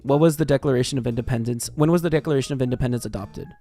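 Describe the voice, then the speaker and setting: An American man livestreaming in casual chat, excitable and sweary, reacting and reading things aloud. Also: mid-range voice, very fast, soft background music. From Texas, United States. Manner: excitable, swearing, jumps from muttering to shrieks. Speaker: livestream host.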